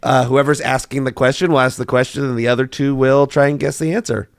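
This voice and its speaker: Hoarse, gravelly man hosting a podcast. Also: medium pitch, steady tone, fast, no room sound, studio quality. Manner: chatty, thinks aloud with many fillers. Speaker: podcast host. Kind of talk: casual quiz chat.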